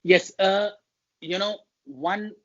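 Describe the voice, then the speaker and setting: High-voiced Pakistani man, measured. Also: fairly bright tone, highs cut off. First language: Urdu. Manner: measured, emphatic, lists points. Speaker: guest linguist. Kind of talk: interview talk on public speaking.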